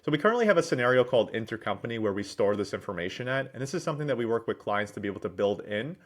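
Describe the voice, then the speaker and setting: Low-voiced man presenting a software demo. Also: very animated, very fast. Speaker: presenter, managing director at a software consultancy. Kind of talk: software demo walkthrough, explanatory screen-share narration.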